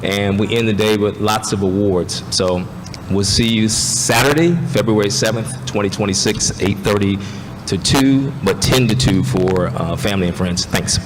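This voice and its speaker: A man's voice: lowish voice, moderate pace. A man, a school district administrator, giving upbeat announcements with frequent fillers at a school board meeting. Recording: noisy, reverberant meeting hall.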